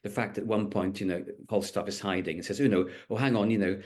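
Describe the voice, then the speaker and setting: Low-voiced man with a light Welsh accent. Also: fairly even intonation, quick pace, a little mellow. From Wales. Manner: thoughtful, many restarts and fillers. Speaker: stage actor, guest. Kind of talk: interview, reflective answers.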